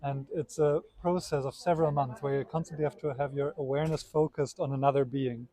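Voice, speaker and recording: A man's voice: mid-range voice, warm tone, low-quality recording. A man talking casually and earnestly, in a reflective storytelling way.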